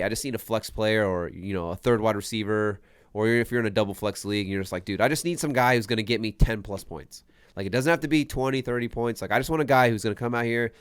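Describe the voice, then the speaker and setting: A young man talks fantasy football in a loose, emphatic podcast chat, hammering the same point over and over. His voice sits low and smooth despite a stuffy, congested nose, recorded close and dry. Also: dry recording. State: congested from a sinus infection.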